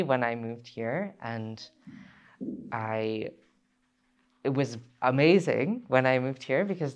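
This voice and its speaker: A young man from Ontario telling a personal story, halting with many pauses and restarts. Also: mid-range voice, clean tone. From Ontario, Canada. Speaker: speaker sharing his story.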